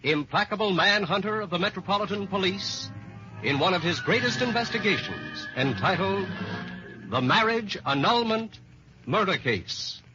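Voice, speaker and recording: A bright, animated male announcer delivers scripted narration in a grand old-time radio manner. His delivery is dramatic and deliberate, on a dull vintage recording with faint music. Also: sits high.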